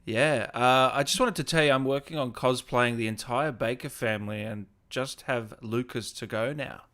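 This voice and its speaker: A man hosts a casual interview in a bright, forceful voice of medium pitch. He sounds informal and chatty as he reads out messages and puts questions to his guest. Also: normal speed. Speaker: podcast host, interviewer.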